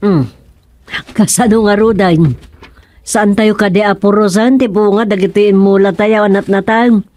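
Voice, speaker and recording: A woman's voice: medium pitch, fairly even intonation, moderate pace, a little mellow, close to an everyday voice. A woman with an Ilocano accent playing a homely, easily delighted village gardener in a radio comedy-drama, chatty and given to exclaiming. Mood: musing.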